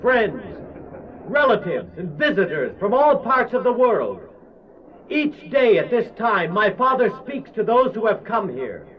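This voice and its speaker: An actor playing a prophet's dutiful young son, formally addressing a crowd in a Bible radio drama. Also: male voice, high-pitched, big pitch swings, a little slow. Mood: formal, calling out. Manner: formal, announcing. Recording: muffled old tape, faint hiss.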